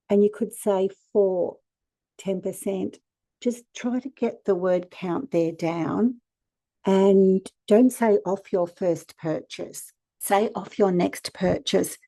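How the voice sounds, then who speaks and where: A hoarse woman, hosting a casual screen-share tutorial and giving chatty, direct, practical advice. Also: mid-range voice, warm tone, dry recording. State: hoarse from a cold. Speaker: e-commerce consultant and channel host.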